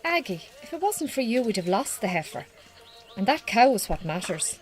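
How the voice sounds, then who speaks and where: A woman playing a warm-hearted, brisk Irish farmer's wife in a radio drama, plain-spoken, with an Irish accent. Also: mid-range voice, very animated, lightly acted. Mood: appreciative. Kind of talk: naturalistic radio drama acting.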